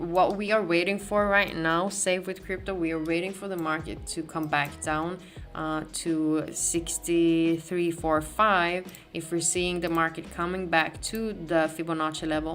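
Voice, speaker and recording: A woman's voice: sits low, fairly bright tone. Swedish-accented woman hosting a live stream. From Sweden. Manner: conversational, many restarts and fillers, greets chat viewers. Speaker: crypto livestream host. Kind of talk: live market analysis, reading chat.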